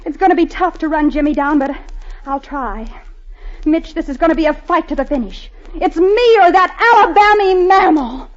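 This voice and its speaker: A woman's voice: very high-pitched, moderate pace. An actress playing a feisty, scornful, proud American college girl in an old-time radio comedy, with emphatic, theatrical delivery. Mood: determined. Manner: emphatic, indignant, theatrical hesitations. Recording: dull vintage radio recording with audience.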